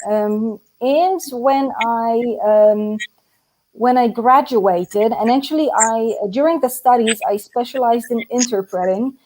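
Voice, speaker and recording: A woman's voice: fairly high pitch, takes it slowly, darkish tone, slight background noise, low-quality recording. A young adult woman from Poland, a native Polish speaker, chatting as a guest on a live stream with frequent laughs and fillers, speaking over a video call from an office. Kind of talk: casual live-stream chat. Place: translation agency office.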